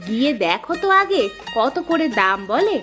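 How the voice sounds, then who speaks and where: The part is a cartoon village mother, played by a woman, practical and homely. Her voice sits a little high and she talks in a calm, encouraging way. Soft plucked mandolin music runs underneath. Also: moderate pace. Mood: prompting. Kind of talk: cartoon voice acting.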